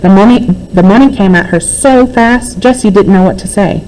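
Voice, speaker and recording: A woman's voice: mid-range voice, moderate pace, darkish tone, slight background noise, low-quality recording. A woman reading a children's book aloud as a narrator. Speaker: read-aloud reader.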